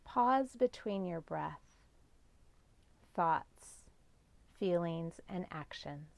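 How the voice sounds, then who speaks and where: A woman guiding a meditation as a yoga nidra teacher, speaking in gentle, measured instructions with long pauses. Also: lowish voice, animated, gravelly, no echo. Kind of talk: guided meditation.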